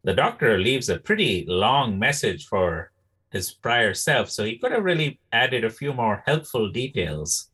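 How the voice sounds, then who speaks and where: A man on a casual review podcast, giving measured opinions in a halting way. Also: mid-range voice, very animated, pushed and bright, breathy edge. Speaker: podcast host. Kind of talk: casual panel review chat.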